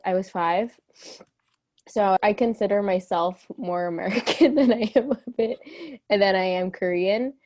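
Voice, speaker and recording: Young woman from new york, guest on a chat, middle pitch, thoughtful, little laughs. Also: a little slow, darkish tone. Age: young adult. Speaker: interview guest. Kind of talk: casual interview chat.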